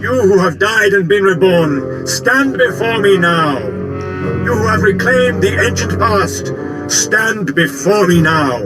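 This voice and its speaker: An actor playing an imperious hidden cult master in a video game, speaking in solemn, commanding ceremonial tones. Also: male voice, high voice, animated, slow, over loud music. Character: imperious hooded male cult master in a ritual. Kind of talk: video game dialogue acting.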